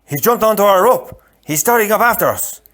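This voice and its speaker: A man voicing a proud, sharp-tongued Spanish swordsman with a brisk, clipped delivery, in a storybook reading. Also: high voice, big pitch swings, pitched above the performer's own voice. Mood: tense.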